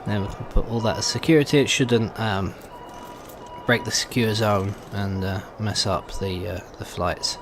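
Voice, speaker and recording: A man's voice: on the low side, fairly even intonation, normal speed, background noise. A man giving casual let's play commentary, thinking aloud in a hesitant way as he goes. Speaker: gaming video host.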